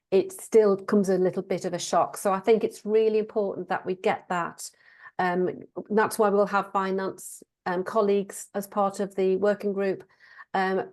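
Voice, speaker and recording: A woman with a croaky voice, a council officer politely explaining procedures at a committee meeting. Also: medium pitch, a little mellow.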